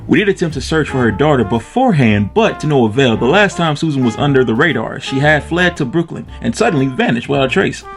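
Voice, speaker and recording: A man's voice: mid-range voice, expressive, quick pace, few pauses, faint music behind, close to an everyday voice. A man playing an eager, awkward young rookie policeman in a radio drama, polite and nervously formal. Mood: earnest, explaining.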